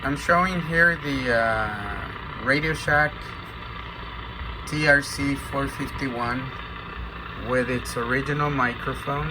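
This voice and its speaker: A man in casual radio chat and demonstrating equipment, talking in a halting, hesitant way. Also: mid-range voice, background noise. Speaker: amateur CB radio operator demonstrating a radio for sale.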